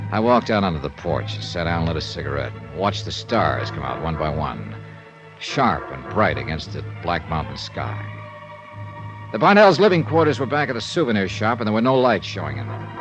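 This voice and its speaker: A male actor with an American accent playing a cool, probing insurance investigator in a vintage radio noir drama. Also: low voice. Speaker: lead actor of radio detective series. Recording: muffled vintage radio recording, music behind.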